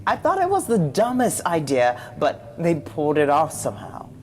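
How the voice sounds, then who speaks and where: A man's voice: a little high, huge pitch swings, moderate pace, darkish tone, a little hiss or hum. A man playing a fawning, gossipy space commander in a campy sci-fi comedy sketch, deferential to a boss. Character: fawning, chatty space commander minion to a despot.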